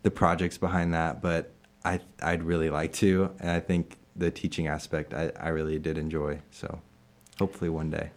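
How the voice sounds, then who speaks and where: A man in his twenties in a casual interview, answering in halting phrases with many fillers and restarts, laughing now and then. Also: very deep voice, little pitch movement. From Illinois, USA. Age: 20s. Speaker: interviewed staff member.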